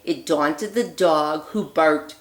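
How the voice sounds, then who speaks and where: A woman teacher reading a children's picture book aloud, phrasing deliberately and expressively with emphasis on the rhymes. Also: sits low. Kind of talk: read-aloud storytelling for young children. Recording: echoey room.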